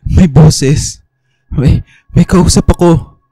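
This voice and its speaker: Mellow, hesitant young male student. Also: fairly high pitch, takes it slowly, slightly rough, no echo, full character voice. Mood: bewildered. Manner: hesitant, stammering, earnest. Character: fearful but determined young male student seeing spirits. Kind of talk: radio drama acting.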